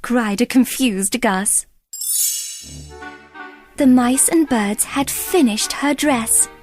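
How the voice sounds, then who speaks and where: A woman narrating a scripted children's bedtime story in an expressive storytelling style. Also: fairly high pitch, takes it slowly. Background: music.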